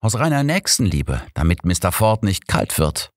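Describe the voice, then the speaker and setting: A man's voice: low-pitched, sing-song, unhurried, dry recording, studio quality, exaggerated voice. Man voicing an emphatic old master detective. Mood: shrewd, insistent. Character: old male master detective, shrewd and insistent.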